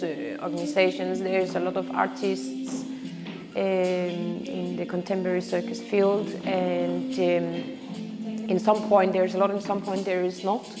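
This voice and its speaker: A young woman with a Danish accent, a circus artist speaking in a reflective personal interview. She talks haltingly and searches for words in English, which is not her first language. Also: lowish voice, flat delivery. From Denmark. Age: young adult. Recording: reverberant with background music.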